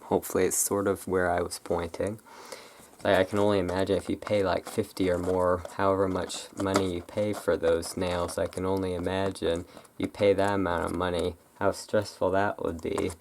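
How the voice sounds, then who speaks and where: An ASMR creator chatting casually and unscripted, in a halting, rambling way that often breaks off mid-thought. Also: low-pitched, level tone, a little hiss or hum. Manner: halting, rambling, self-interrupting.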